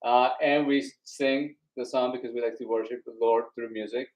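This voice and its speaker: A man's voice: medium pitch, steady tone, normal speed, low-quality recording. A Colombian man with an accent, co-hosting a live worship stream in a loose, rambling way. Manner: easygoing, rambling, with many fillers. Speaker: co-host of an online worship show. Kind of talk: casual live-stream chat. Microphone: live stream on phones and devices.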